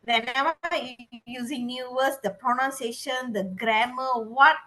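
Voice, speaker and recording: A woman whose first language is Tamil gives an earnest panel talk. Her voice is bright and tense and sits fairly high. Her speed changes, sometimes hurried and sometimes slow. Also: steady tone, poor audio. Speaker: panel speaker.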